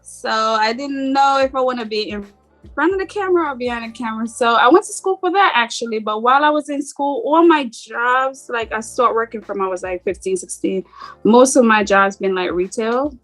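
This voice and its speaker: A middle-aged woman with a slight Jamaican accent, talking about herself in a relaxed, rambling way as an interview guest. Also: on the high side, normal speed, soft background music. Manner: relaxed, rambling, frequent "like" fillers. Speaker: boutique owner guest. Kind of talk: casual interview chat.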